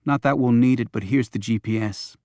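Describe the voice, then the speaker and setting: A man's voice: mid-range voice, a little fast, a little mellow, dry recording, studio quality, lightly acted. A man voicing a cautious, matter-of-fact criminal in his thirties in a thriller audiobook. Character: stocky, businesslike criminal in his early thirties.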